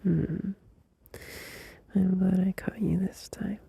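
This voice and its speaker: Male performer as a tender young boyfriend at night. Slow, breathy whisper with gravelly fry, close and dry. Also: high voice, flat delivery, dry recording, heavily acted. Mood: tender, sleepy. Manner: soft drowsy whisper, unhurried, with small laughs. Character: gentle, loving young boyfriend soothing a partner at night. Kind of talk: ASMR audio roleplay. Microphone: close mic.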